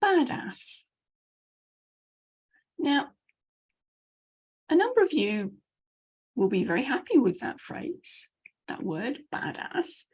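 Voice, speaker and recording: Breathy, animated British woman. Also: sits high, takes it slowly, long pauses. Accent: British English. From United Kingdom. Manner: animated storyteller, self-deprecating asides. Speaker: message clarity coach. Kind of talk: coaching talk to camera with personal anecdotes.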